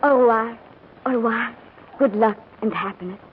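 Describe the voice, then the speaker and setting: A woman's voice: on the high side, normal speed, natural voice. Actress as an earnest young woman, tinny old radio. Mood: farewell. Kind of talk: 1940s radio drama acting. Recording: thin, noisy old radio recording.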